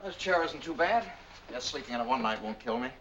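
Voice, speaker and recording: An actor playing an eager, protective young American bridegroom in brisk, affable 1940s film style. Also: male voice, mid-range voice, animated, natural voice. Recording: muffled, noisy old film soundtrack.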